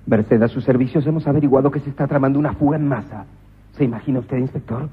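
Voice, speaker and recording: An actor playing a stern, ruthless police commissioner in a radio comedy drama, with a husky voice that flips into manic shouting. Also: male voice, mid-range voice, fast. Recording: muffled old radio broadcast.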